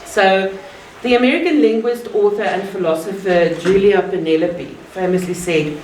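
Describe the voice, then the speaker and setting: A woman's voice: mid-range voice, a little mellow. Earnest Afrikaans-accented woman in a roomy gallery. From South Africa. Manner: measured, earnest, deliberate pauses. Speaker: guest speaker. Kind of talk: public talk. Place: art gallery. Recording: roomy, distant mic, faint ambient noise.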